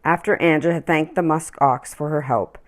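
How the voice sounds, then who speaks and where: A woman reading a children's story aloud in an expressive, deliberate storytelling manner. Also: sits low. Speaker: children's story-time reader.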